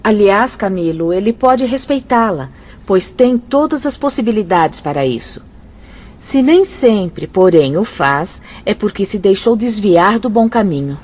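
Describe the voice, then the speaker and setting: A woman's voice: mid-range voice, animated, a little slow, a little mellow. A woman playing a kindly, instructive spirit sister in a radio drama. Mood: gently admonishing. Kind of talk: Brazilian radio drama acting. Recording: dull, muffled audio, faint music.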